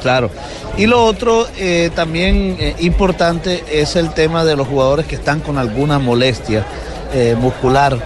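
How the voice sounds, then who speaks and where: A man's voice: fairly high pitch, unhurried, crowd noise. A man with a Colombian accent giving an unscripted sports report on a radio panel.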